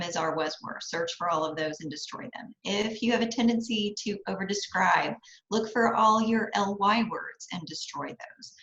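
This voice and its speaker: A woman's voice: medium pitch, a bit bright. A woman speaking as a guest writing mentor, giving conversational advice over a roomy, muffled connection. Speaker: guest author, writing mentor and life coach. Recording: dull, roomy microphone sound.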